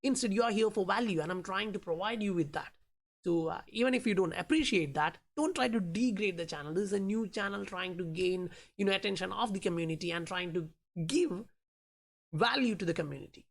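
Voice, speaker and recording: A woman's voice: medium pitch, fairly quick, vocal fry. A woman with an Indian accent who is not a native English speaker, hosting a channel and explaining price charts with frequent fillers and restarts. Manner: explanatory, many fillers like "you know" and "uh". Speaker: crypto chart analyst, channel host. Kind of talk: talking through price charts in a vlog.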